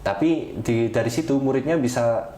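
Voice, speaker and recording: A young man with an Indonesian accent chatting as a podcast guest, explaining in a measured way and pausing to find words. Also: mid-range voice, warm tone. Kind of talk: casual interview chat about studying abroad. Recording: roomy echo, clear background noise.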